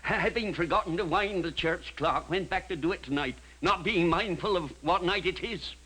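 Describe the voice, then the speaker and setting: An actor playing an earnest, deferential man reporting to his superior in a husky voice, in period radio drama style. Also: sits high, moderate pace, sharp tone, full character voice, poor audio.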